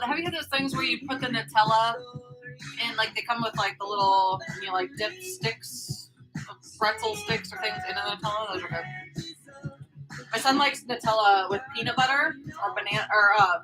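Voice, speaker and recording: A woman in her thirties with an Idaho accent, chatting excitedly and swearing casually. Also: a little high, moderate pace, music in the background, poor audio. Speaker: co-host of a couple's channel. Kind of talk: casual chat on a live stream.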